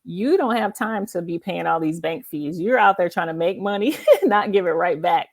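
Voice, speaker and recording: A woman's voice: lowish voice, normal speed, gravelly. A woman hosting a casual interview, speaking emphatically and affirmingly and repeating points for her listeners. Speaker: host of a live author interview show.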